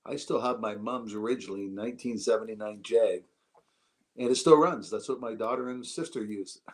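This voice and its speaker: A man's voice: fairly low pitch, fairly quick. A middle-aged man co-hosting a podcast in easygoing casual chat with short agreeing replies. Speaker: podcast co-host.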